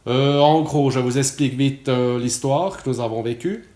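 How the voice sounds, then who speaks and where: A man's voice: mid-range voice, normal speed, warm tone, reverberant. A Swiss man in his late forties with a Swiss French accent, giving a casual testimonial, talking hesitantly with many fillers and restarts. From Switzerland. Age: 40s.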